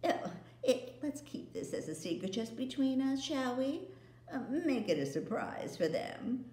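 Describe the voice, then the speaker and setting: A woman's voice: sits high, very animated, pitched above the performer's own voice. Woman reading a scheming storybook queen: coaxing, honeyed, roomy sound. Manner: coaxing, drawn-out, with soft laughs. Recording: roomy echo.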